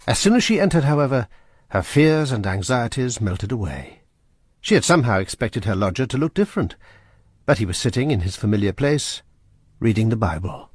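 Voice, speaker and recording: A man narrating a radio drama in a measured, steady storytelling voice. His tone is slightly husky, and the recording is close and dry. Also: mid-range voice, no room sound.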